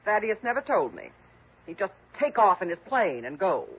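Gushing, animated wealthy wife, husky voice. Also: female voice, medium pitch, normal speed. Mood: gushing, wistful. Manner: effusive, sentimental chatter. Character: gushing, chattering wealthy wife of a missing man. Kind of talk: 1950s-60s radio drama acting. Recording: muffled old radio drama recording.

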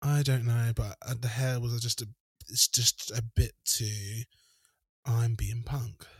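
A man's voice: medium pitch, steady tone, takes it slowly, husky, no echo, studio quality. A man co-hosting a podcast, giving casual, hesitant opinions on fashion. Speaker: podcast co-host. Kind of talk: casual chat, fashion commentary.

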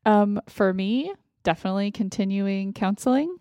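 A woman's voice: mid-range voice, lively intonation, normal speed, dark tone, dry recording. A young woman co-hosting a podcast in a casual, chatty style. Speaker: podcast co-host. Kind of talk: casual chat.

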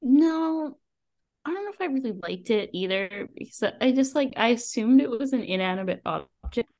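Casting: woman, voice a touch higher than average, casual podcast co-host, blunt opinions, jokey conversational delivery. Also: expressive, moderate pace, darkish tone. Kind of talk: casual book chat.

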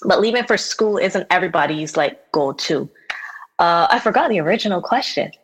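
A young woman from Barbados speaks as a podcast guest in relaxed conversation. Her voice sits a little low and she talks in a thoughtful, easygoing way, laughing now and then. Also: a little fast, breathy edge. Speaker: podcast guest, visual artist. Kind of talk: casual conversation. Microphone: remote recording from home setup.

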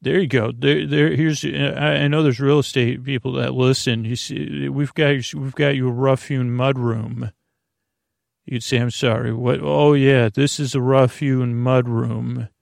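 A man with a heavily creaky, gravelly voice rambles softly as a bedtime podcast host. He is halting and meandering, often restarting or losing his thread, with a gentle, reassuring manner. The sound is close and dry. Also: dry recording. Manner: meandering, halting, self-interrupting, trailing off mid-thought; gentle, reassuring, low-pressure.

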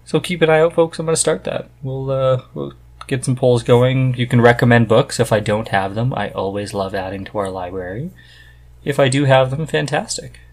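A man's voice: medium pitch, moderate pace. A man hosting a podcast in a casual, conversational chat. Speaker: podcast host.

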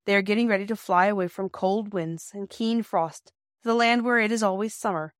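Female audiobook narrator: measured, expressive storybook reading, mid-range pitch, very dry recording. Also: dry recording.

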